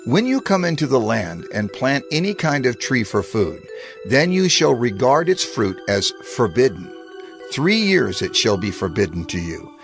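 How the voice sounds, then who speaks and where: God laying down the law. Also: male voice, mid-range voice, big pitch swings, unhurried, soft background music. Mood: commanding. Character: God giving laws, measured and commanding. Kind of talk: dramatized scripture reading.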